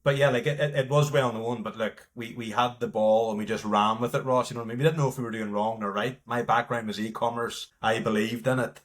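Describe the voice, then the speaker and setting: A man's voice: mid-range voice, steady tone, fairly quick, few pauses. A man with a Northern Irish accent talking frankly and informally as a guest in a casual interview. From north coast of Northern Ireland. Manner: informal, frank, peppered with "you know" and "like". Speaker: company partner and marketing lead, podcast guest.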